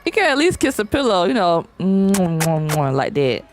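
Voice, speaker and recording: A woman's voice: on the low side, very animated, a little slow, soft background music. A young woman streaming a game, chatting casually and reading chat aloud in slangy, playful talk. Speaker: VTuber streamer. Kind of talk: casual live-stream chat while gaming.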